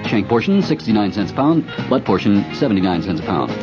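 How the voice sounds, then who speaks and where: A man's voice: lowish voice, very animated, fast, over music. A man reading a scripted supermarket radio commercial as an upbeat announcer. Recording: muffled 1970s radio broadcast.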